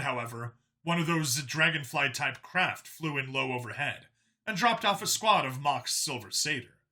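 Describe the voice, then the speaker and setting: Bright, forceful male story narrator. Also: on the high side. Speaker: audiobook narrator. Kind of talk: dramatic scripted narration.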